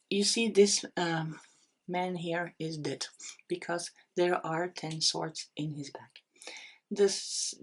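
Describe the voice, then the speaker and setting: A middle-aged woman with a Dutch accent reads tarot cards to camera. Her voice is husky and sits a little low, and she speaks very slowly. She hesitates often, restarting words and filling pauses with "um". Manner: slow, hesitant, with many fillers and restarts. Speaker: tarot reader. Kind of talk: unscripted tarot reading to camera.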